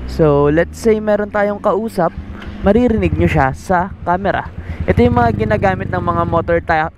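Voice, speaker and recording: A man's voice: sits high, moderate pace. A Filipino man talking casually and informally as a motovlogger showing a device, through a helmet mic. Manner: informal, switches between Tagalog and English. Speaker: motovlogger, host. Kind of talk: casual vlog talk, product demo. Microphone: helmet-mounted mic into action camera. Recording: noisy outdoor motorbike ride, engine and traffic.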